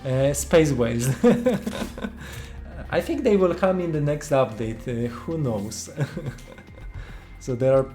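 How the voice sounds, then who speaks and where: A man with a Polish accent, a native Polish speaker, casually commenting on a game he is playing while thinking aloud with many fillers and pauses. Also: mid-range voice, animated, mellow, faint music behind. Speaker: game developer streaming gameplay.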